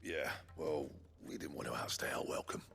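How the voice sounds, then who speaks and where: An actor playing a proud, stubborn older master blacksmith in a video game, gruff and raspy. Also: male voice, a bass voice, normal speed, fairly bright tone, heavily acted. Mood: guarded. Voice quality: raspy, gravelly. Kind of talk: dramatic video game dialogue.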